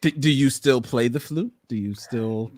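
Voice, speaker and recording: A man's voice: medium pitch, moderate pace, a little mellow, dry recording. A man hosting a podcast in casual chat, talking in long meandering sentences and laughing often. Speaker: podcast host. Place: room full of Batman memorabilia.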